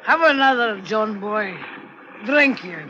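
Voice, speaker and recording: A wily small-time crook from an old radio play. The voice is sharp and unhurried, wheedling in rustic sailor talk like a sidekick forever coaxing a bigger partner. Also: male voice, sits high, expressive, highs cut off, a little hiss or hum, full character voice. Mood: persuasive. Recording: vintage radio recording.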